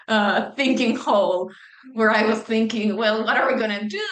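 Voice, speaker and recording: A woman's voice: fairly high pitch, fairly bright tone, roomy sound. A woman from Chile interviewing an author, thinking aloud and checking in with "right?" as she shapes her questions. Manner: thoughtful, conversational, asks with "right?" tags.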